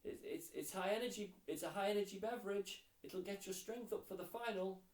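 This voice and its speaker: A man's voice: high-pitched, a little fast, pitched above the performer's own voice, lightly acted. A man voicing a doting, insistent mother in a storybook, calling out proudly. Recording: roomy echo, distant mic.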